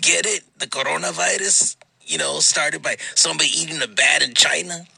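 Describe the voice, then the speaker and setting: A man voicing a brash male fight-talk segment host, with a gravelly voice and a shouted, profane, punchy countdown delivery. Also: fairly high pitch, moderate pace, no room sound, heavily acted, poor audio.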